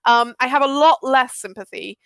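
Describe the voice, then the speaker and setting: A woman's voice: high-pitched, normal speed, vocal fry, fairly bright tone, clear, resonant tone, no room sound. A woman chatting casually and at length in an emphatic, opinionated, rambling way, with some swearing. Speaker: audio drama maker. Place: live online group stream.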